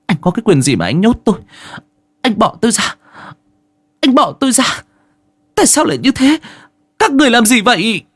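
A desperate young wife, frightened but defiant, acted out by a male storyteller. Her voice is high and protesting in a dramatic audio story. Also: lively intonation, quick pace, few pauses, darkish tone, husky, dry recording. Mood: panicked protest. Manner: pleading, protesting.

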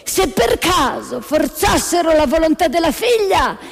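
A woman acts out a bishop for a satirical stage play, pompous and fawning. The voice sits very high and stretches its words out in ceremonious declamation. Music plays clearly behind it. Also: very animated, big-room sound, heavily acted. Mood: fawning, formal. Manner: drawn-out, declamatory, hesitant deference. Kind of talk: theatrical satire.

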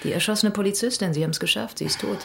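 A woman's voice: medium pitch, very fast, creaky, fairly bright tone. A woman playing a tough, sardonic police detective in a naturalistic radio drama, talking in a dry, insistent way. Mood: needling, pressing.

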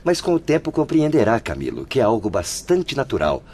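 An actor playing a patient, solemn spirit doctor in a radio drama, explaining in a formal, didactic way. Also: male voice, medium pitch, wildly swooping pitch, brisk, a little mellow, husky, full character voice. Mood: instructive.

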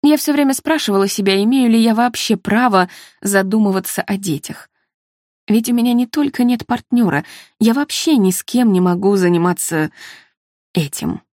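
A woman's voice: mid-range voice, moderate pace, darkish tone, no echo, clean studio sound, natural voice. A woman narrator voicing an earnest, troubled woman who speaks confessionally in a novel.

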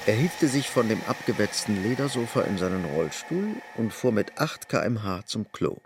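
A man's voice: lowish voice, very animated, normal speed, background noise. A man reading scripted literary narration as the narrator of an audio drama. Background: music.

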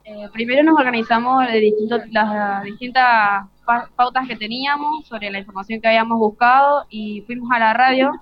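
A woman's voice: a little high, normal speed, few pauses. A young Argentine woman, a student, giving informal explanatory answers in an interview. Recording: dry, slightly dull lo-fi broadcast.

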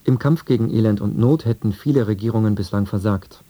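A man reading a scripted radio news and weather bulletin in a sober, measured manner. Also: on the low side, dark tone, breathy edge. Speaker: radio newsreader.

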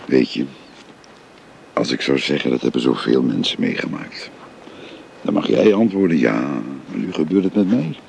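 An actor playing an older father who gently confides his family history to a young woman, in a radio drama. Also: very deep voice, lively intonation, normal speed, creaky, pauses often, slight background noise, full character voice. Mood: gentle, confiding. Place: recorded on location.

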